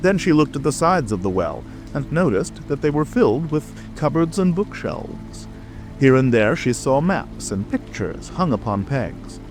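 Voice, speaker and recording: Expressive male storyteller with lively range. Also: medium pitch, unhurried. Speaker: narrator. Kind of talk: scripted storybook narration. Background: music.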